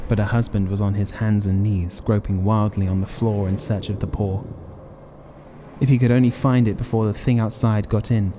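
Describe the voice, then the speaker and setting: A man's voice: on the low side, fairly even intonation, normal speed, noisy background. A man narrating a horror audiobook in a dramatic, scripted reading style.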